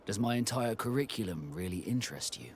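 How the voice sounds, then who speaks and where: An actor playing a proud, reserved male ship captain in a video game, speaking in a measured, formal and courteous way. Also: on the low side, lively intonation, a little hiss or hum. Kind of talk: video game dialogue acting.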